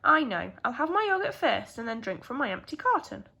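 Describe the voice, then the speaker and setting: Woman playing gentle park keeper, genial, storybook character, animated pitch, bright tone. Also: fairly quick, vocal fry, heavily acted. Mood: puzzled musing. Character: kindly male park keeper, good-natured and mildly puzzled.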